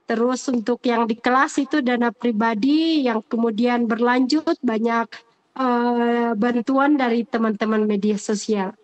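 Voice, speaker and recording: A woman's voice: on the high side, steady tone, measured pace, muffled recording. A woman, a teacher answering interview questions off the cuff, earnest and hesitant, often repeating her phrases.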